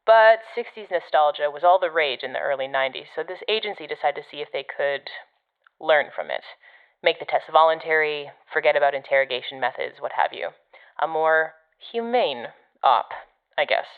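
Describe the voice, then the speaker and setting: Audio drama: sardonic female agency operative, low and casual, guiding over a dull radio link. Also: expressive, moderate pace, smooth. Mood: dry, sardonic. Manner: dry, sarcastic, casual. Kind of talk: audio fiction podcast acting.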